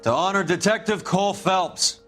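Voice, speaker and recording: A man's voice: high voice, moderate pace, a bit bright, soft background music. A man playing a solemn eulogist in a game drama, speaking in a formal, grandiose, oratorical way. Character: solemn, smooth-talking man delivering a funeral eulogy.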